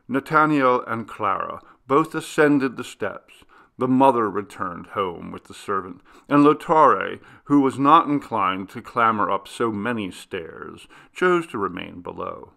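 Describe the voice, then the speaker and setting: Creaky, bright-voiced man narrating theatrically. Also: fairly low pitch, lively intonation. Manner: theatrical, deliberate, literary delivery. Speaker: audiobook narrator. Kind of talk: dramatic scripted narration of a classic Gothic story.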